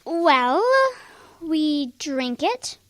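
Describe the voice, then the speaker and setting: A woman's voice: sits high, drawn-out words, a bit bright, dry recording, exaggerated voice. A woman playing a young schoolgirl in a children's radio drama, helpful, frank and plain-spoken in a childlike way. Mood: helpful, unsure.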